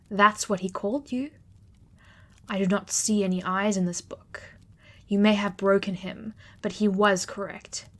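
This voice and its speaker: A woman performs a regal female deity of vengeance for an audio drama. She is imperious and wrathful, speaking in grand, formal declarations at a natural middle pitch. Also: natural voice. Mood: cold, menacing.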